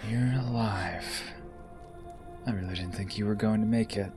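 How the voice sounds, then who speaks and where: Very low, menacing rebel villain played by a man. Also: over music, close to an everyday voice. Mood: threatening. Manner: slow deliberate menace, often hushed. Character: menacing rebel man, coldly taunting, possessively fond. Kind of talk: audio drama acting.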